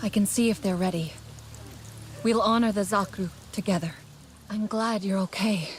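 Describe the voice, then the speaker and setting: An actress voicing a steady, caring young warrior woman in a video game. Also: medium pitch, moderate pace, a little hiss or hum, lightly acted. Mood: resolute.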